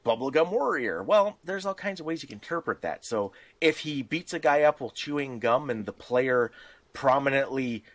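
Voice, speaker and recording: A man's voice: mid-range voice, lively intonation, moderate pace, no echo. A man with a mid-range voice explains game rules in an informal conversation. He reasons step by step through examples, and the sound is dry, with very little room in it. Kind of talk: casual discussion of tabletop game rules.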